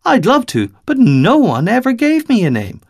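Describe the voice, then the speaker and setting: A man putting on a high, earnest little girl. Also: wildly swooping pitch, normal speed, full character voice. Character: small blue-eyed girl of about ten, earnest and gentle.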